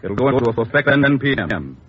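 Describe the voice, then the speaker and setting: A man playing a gruff, brisk, authoritative police chief briefing detectives in an old radio crime drama. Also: medium pitch, big pitch swings, close to an everyday voice. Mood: businesslike. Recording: muffled, noisy old radio recording.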